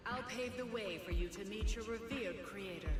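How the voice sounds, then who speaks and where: A woman's voice: medium pitch, normal speed, lightly acted. A woman playing a resolute, grave teacher devoted to her pupils in a dramatic game monologue. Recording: echoing voice with music behind.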